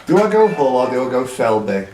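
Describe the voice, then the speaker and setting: A man's voice: mid-range voice, big pitch swings, fairly quick. A man playing as a quiz contestant, calling out answers and bantering casually in a jokey, blurting way. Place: live in a pub. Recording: echoey noisy pub, distant microphone.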